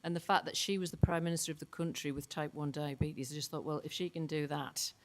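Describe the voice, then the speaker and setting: A woman's voice: sits low, steady tone, fast. A woman panelist answering eagerly with personal anecdotes.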